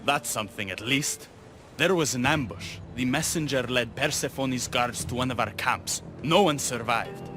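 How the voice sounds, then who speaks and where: A man's voice: medium pitch, normal speed, background noise, close to an everyday voice. An actor playing a passionate, embittered young rebel man in a video game, with intense, dramatic delivery. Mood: grim. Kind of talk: video game cutscene acting. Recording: music faintly behind the voice.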